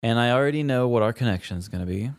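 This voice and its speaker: A man chats as a podcast co-host in an informal, wry way. His voice is medium-pitched and recorded very dry. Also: quick pace, dry recording. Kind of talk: casual chat.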